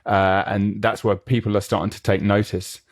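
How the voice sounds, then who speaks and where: Male podcast host, lowish voice, dry close sound, keen conversational interviewer. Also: little pitch movement, moderate pace, no echo. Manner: eager, chatty, asks many questions.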